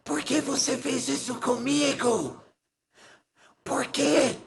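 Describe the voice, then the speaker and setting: A man voicing a murdered farmer's wife as a ghost, speaking in a high, broken, wailing voice. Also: fairly even intonation, slow pace, creaky, full character voice. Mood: accusing.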